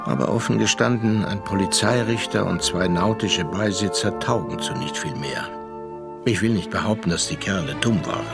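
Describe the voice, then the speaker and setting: Low-voiced, wry gentleman storyteller over music. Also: normal speed, vocal fry. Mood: wry, reflective. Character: sardonic, worldly gentleman narrator recounting a sea inquiry. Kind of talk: dramatised first-person storytelling in a German radio play.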